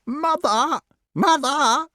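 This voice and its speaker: A storyteller voicing a sneaky tattletale crow with shrill, whining delivery. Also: drawn-out words, pushed and bright, no room sound, clean studio sound, full character voice. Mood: shrieking alarm.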